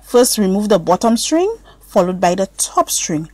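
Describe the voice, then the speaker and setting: Patient storybook mom explaining, lively intonation, read by a woman. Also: mid-range voice, normal speed, no room sound. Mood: instructive. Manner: step-by-step explaining. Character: patient, instructive mother of a young girl.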